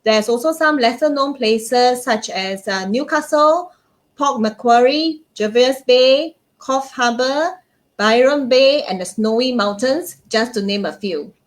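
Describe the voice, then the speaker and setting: A woman's voice: high voice, measured pace. A woman co-hosting a travel livestream, upbeat as she reads out announcements. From Singapore. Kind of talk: casual live-stream chat.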